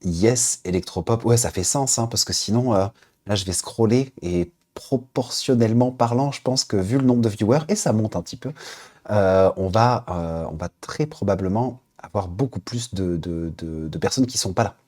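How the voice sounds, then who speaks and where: A man's voice: fairly low pitch, normal speed, mellow. A man hosting a casual live stream, reading names from the chat aloud and hesitating with lots of fillers as he queues up listeners' tracks. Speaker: Twitch stream host.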